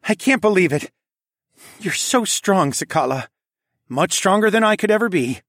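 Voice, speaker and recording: A man voicing an emotional, protective father in an audiobook, talking a little higher than his narration. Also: animated, normal speed, fairly bright tone, dry recording, clean studio sound, heavily acted. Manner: earnest, grumbling.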